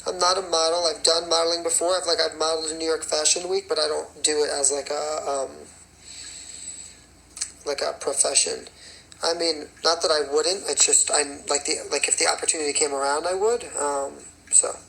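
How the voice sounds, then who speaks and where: A raspy young man, a singer and choreographer, chatting casually on a phone livestream and rambling with many fillers. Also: on the high side, steady tone, normal speed, pushed and bright, a little hiss or hum, low-quality recording. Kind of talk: casual livestream chat answering comments.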